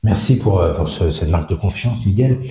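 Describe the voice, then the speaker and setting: Very deep-voiced man asking questions. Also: expressive, a little fast, warm tone. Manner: asks long framed questions with fillers. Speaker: podcast host for a spa school. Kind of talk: casual podcast interview.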